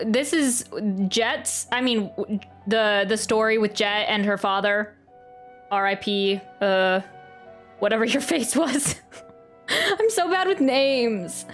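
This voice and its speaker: A woman livestreamer giving a casual reaction to a video game, chatty and halting as she thinks aloud. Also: fairly high pitch. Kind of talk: casual live reaction commentary. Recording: game music under the voice.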